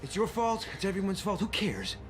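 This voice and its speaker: A man playing a brash, weary action hero, talking bluntly and urgently under a loud film score. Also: sits high, sing-song, fairly quick, loud music behind the voice.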